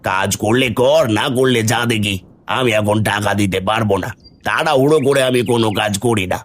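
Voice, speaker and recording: A stingy village moneylender who brushes people off gruffly, voiced by a man. His voice is lowish, with faint background music. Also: fairly quick, lightly acted. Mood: dismissive. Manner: gruff, dismissive.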